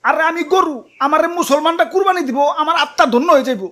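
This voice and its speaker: A man's voice: extremely high pitch, fast, bright, forceful tone. A man with a Bangladeshi accent voicing a fed-up, mouthy talking bull in a cartoon comedy, scolding in street-tough dialect. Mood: indignant scolding.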